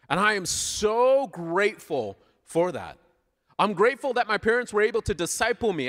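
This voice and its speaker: A high-voiced Canadian man preaches informally with a bright, forceful delivery. He is playful and conversational, often putting questions to the congregation. His voice rings out through a hall with long reverb. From Southern Ontario, Canada. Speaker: guest preacher. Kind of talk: informal sermon with audience interaction. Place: large church hall, speaking to a congregation.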